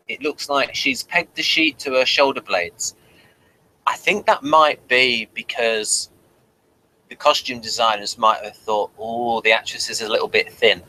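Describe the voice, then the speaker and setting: A man with a bright, forward voice hosts a casual review. He reads out notes and comments on them with dry, wry humour. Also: mid-range voice, poor audio. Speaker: review show host. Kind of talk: casual review chat, partly reading aloud.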